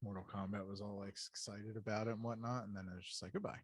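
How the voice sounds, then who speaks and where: Low, dry-sounding man chatting on a podcast. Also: little pitch movement, quick pace, husky, dry recording. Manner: profane, slangy. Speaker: podcast co-host. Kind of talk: casual nostalgic chat.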